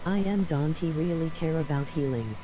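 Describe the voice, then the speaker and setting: Low-pitched female text-to-speech voice for stream chat messages, clear noise behind. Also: moderate pace, mellow, muffled recording.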